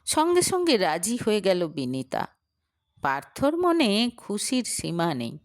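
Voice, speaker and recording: A woman's voice: mid-range voice, huge pitch swings, a little slow, dry recording, clean studio sound. A woman reading a scripted story as a narrator, in a dramatic storytelling style.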